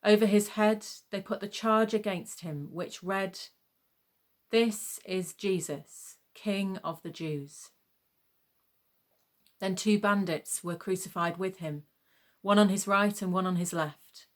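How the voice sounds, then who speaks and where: A woman reading a scripted gospel narration in a measured, solemn manner. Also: mid-range voice.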